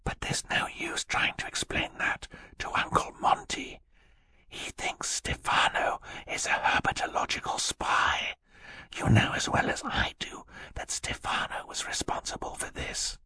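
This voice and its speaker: A man voicing a sensible, protective older sister, a young girl in an audiobook, with an earnest, gentle manner. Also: a little high, big pitch swings, normal speed, few pauses, no room sound, voice pushed up. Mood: conspiratorial whisper.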